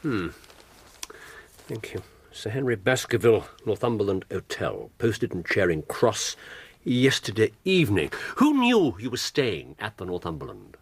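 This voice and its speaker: An actor with an English accent playing a keen, eccentric gentleman detective, incisive and drily amused, in a radio drama. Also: on the low side, wildly swooping pitch, a little slow, slightly rough, heavily acted. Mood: intrigued, brisk. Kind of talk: British radio drama acting.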